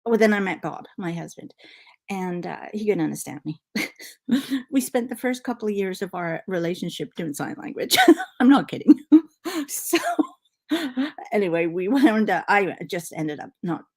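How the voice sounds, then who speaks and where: A woman's voice: fairly low pitch, moderate pace, pauses often. Liverpool-born woman with a canadian accent, chatty and bubbly, lots of ums, talking into her laptop mic at home. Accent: Canadian English with faint Liverpool traces. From Liverpool, England. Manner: chatty, excitable, many fillers and asides. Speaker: knitting channel host. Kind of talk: casual live-stream chat.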